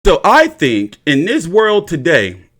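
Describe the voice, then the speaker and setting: A man's voice: fairly high pitch, wildly swooping pitch, slow, fairly bright tone. A man hosting a boxing livestream in a casual, blunt and profane ranting style, often repeating his phrases.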